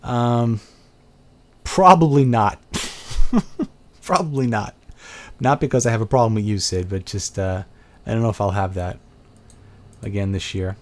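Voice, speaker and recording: A man's voice: on the low side, unhurried, long pauses. Chatty Brooklyn man on a webcam. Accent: New York. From Brooklyn, New York. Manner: chatty, rambling, thinks aloud with fillers. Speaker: host of a live video chat. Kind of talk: casual chat answering viewer questions.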